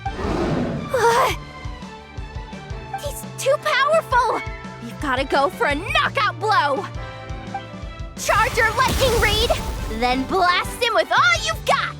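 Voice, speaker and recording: A woman playing an earnest, excitable young fantasy game contestant in an expressive, wide-eyed way. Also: very high-pitched, slow, pauses often, music in the background, heavily acted. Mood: urgent. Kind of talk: animated video game voice acting.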